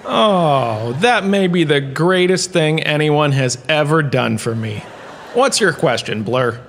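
Guy doing a pompous sci-fi professor lecturing, highish voice all over the place, big hall reverb. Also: lively intonation, takes it slowly, a bit bright, slight background noise, heavily acted. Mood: showy, fond. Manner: dramatic, showy, pompous. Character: vain, theatrical male professor lecturing on galactic history. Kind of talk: scripted comic lecture.

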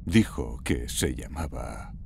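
A man voicing an ancient, imposing and stately warrior in a cartoon fandub, speaking gravely. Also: very deep voice, expressive, slow pace, very noisy, exaggerated voice. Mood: grave, measured. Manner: grave, portentous. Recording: music behind the voice.

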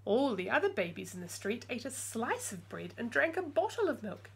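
A woman's voice: a little high, sing-song, moderate pace, vocal fry, slightly rough. A woman in her forties reading a picture book aloud expressively, with chatty asides to young listeners. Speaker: storytime reader. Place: small room at home with bookshelves.

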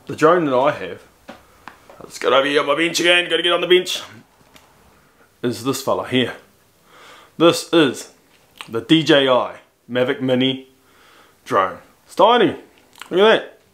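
A man in his forties with a New Zealand accent, chatting casually to camera about his gear, repeating himself and jumping up in pitch when he shows things, in a room at home on a camera-mounted mic. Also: moderate pace, pauses often, a bit bright. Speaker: motorcycle vlogger. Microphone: camera-mounted shotgun mic.

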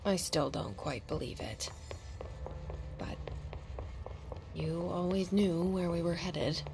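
A woman playing a determined, introspective agency director in a video game, narrating her thoughts in an earnest, measured, confiding way. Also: on the low side, expressive, vocal fry, background noise, full character voice. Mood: wry resolve. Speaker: protagonist of an action video game. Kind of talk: scripted inner-monologue narration. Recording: background music under the voice.

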